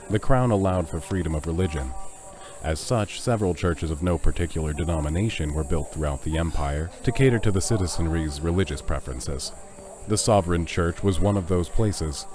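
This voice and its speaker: A man's voice: sits low, darkish tone. A man giving scripted narration for an audio drama.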